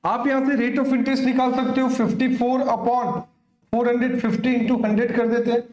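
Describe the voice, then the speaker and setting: A man's voice: very high-pitched, level tone, a little slow, darkish tone. A man teaches maths in a reverberant room. He explains step by step like a coaching teacher, repeats himself for emphasis and often asks his students, with affectionate address, whether they follow. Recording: echoey room.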